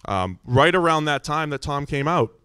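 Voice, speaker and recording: A middle-aged American man with a loud, booming voice, telling his personal story to a congregation in an informal, conversational way. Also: animated, normal speed, clean tone, no echo, clean studio sound. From Massachusetts, United States. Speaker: visiting church speaker.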